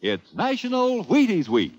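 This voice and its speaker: A man with an American accent announcing a 1950s radio commercial in a folksy, exuberant pitchman style. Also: wildly swooping pitch, a little slow, darkish tone. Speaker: radio announcer reading sponsor ad. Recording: dull, rough 1950s radio recording.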